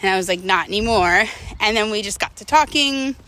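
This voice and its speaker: A woman's voice: mid-range voice, lively intonation, fairly quick, sharp tone, rough recording. A middle-aged woman telling a personal story casually, in a candid, chatty, rambling way peppered with "like" and "you know". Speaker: storytelling vlogger.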